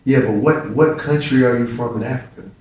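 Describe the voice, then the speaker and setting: A young American man, a basketball player, telling stories in a relaxed and reflective way. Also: medium pitch, a little fast, far from the mic. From United States. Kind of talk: casual interview conversation. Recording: echoey room, mic set back.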